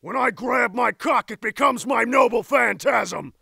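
A woman voicing a loud, crude, hot-headed hero in over-the-top comedic cartoon dub style. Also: fairly high pitch, measured pace, gravelly, husky, dry recording, studio quality, faint music behind, full character voice. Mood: bombastic shouting.

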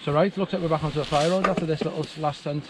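A man's voice: fairly high pitch, fairly even intonation, very fast. A man chatting on a mountain bike ride outdoors, explaining in a matter-of-fact, practical way. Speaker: riding companion. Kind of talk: casual chat on a ride. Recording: outdoor wind and bike noise.

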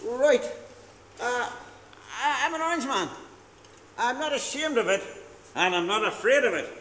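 An actor playing a drunken, boastful, preachy middle-aged husband on stage. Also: male voice, very high voice, expressive, measured pace, fairly bright tone, slight background noise, full character voice. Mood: blustering. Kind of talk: stage play acting. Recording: echoey hall, far from mic.

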